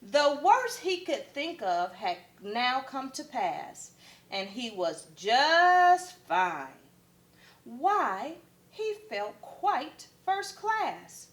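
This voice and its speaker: A woman's voice: high voice, sing-song, very slow. A woman, a first grade teacher, reading a picture book aloud expressively for children.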